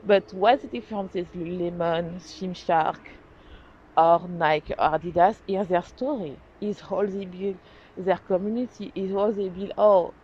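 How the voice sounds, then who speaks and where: A French-accented woman talks through her own podcast with earnest energy, often backing up to correct herself. Her voice sits a little low. The recording is dull and lo-fi with a faint hiss underneath. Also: unhurried. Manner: earnest, animated, restarts and self-corrections. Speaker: podcast host. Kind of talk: casual solo podcast talk. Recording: dull lo-fi sound, faint noise.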